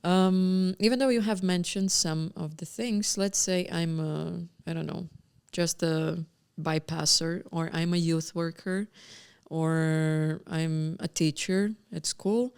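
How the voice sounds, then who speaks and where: A woman's voice: lowish voice, steady tone, slow pace, vocal fry, darkish tone, smooth. A woman moderating a live panel discussion, thinking aloud and rephrasing as she goes.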